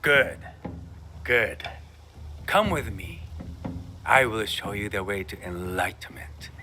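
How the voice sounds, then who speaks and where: A man's voice: fairly low pitch, expressive, slow pace, background noise. A man playing a grandiose, zealous samurai recruiter in a video game cutscene, talking in a florid, ingratiating, theatrical way.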